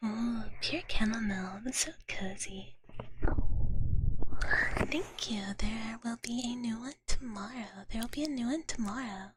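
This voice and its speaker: A woman streaming ASMR, chatting casually to viewers in a soft, breathy whisper with a gentle, cutesy manner, close to the microphone. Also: a little high, measured pace, heavy background noise. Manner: gentle, cutesy, refers to self in third person. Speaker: ASMR livestream host.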